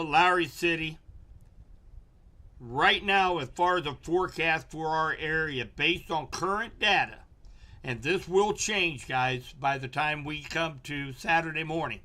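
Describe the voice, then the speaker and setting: A middle-aged man gives a live weather briefing, his voice hoarse and wearing thin. He talks slowly and explains each chart step by step, in a fairly high, bright, forceful tone. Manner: slow, explanatory, walks viewers through charts. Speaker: local weather forecaster.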